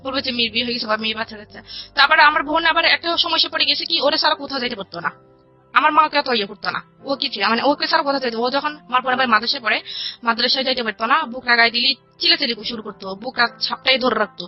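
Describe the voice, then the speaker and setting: A young woman recounts her life as a radio guest. Her voice is fairly high and very quick, circling back and repeating phrases. The recording is slightly dull, with faint music underneath. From rural Bangladesh. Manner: rambling, repeats phrases, quotes family conversations. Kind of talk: personal storytelling in an interview. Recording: dull lo-fi audio with music behind. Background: background music.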